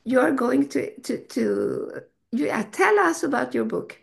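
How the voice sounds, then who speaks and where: Casting: woman host, gravelly edge, eager and gushing. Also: sits high, lively intonation, measured pace. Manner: enthusiastic, effusive. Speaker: festival host interviewer. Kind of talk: casual interview.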